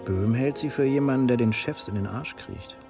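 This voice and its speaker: An actor playing a cheeky, outspoken young detective assistant in a radio play, candid and teasing. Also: male voice, medium pitch, normal speed, gravelly, lightly acted. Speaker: junior police detective. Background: music, vehicle sound.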